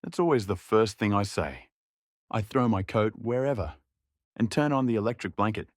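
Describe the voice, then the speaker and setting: A young man narrates a scripted audio drama in a subdued, reflective inner voice. His pitch sits a little low, and the recording is close and dry. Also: lively intonation, moderate pace, dry recording.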